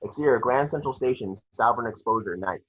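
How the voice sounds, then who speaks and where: A man's voice: mid-range voice, fast, husky, highs cut off. A man narrating action descriptions at a script read-through, matter-of-fact and sometimes stumbling over words.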